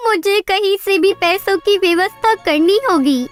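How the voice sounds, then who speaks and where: A woman voicing an anxious, earnest and gentle mother bird in a children's cartoon story, with a pleading manner. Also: very high-pitched, unhurried, soft background music. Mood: worried, pleading.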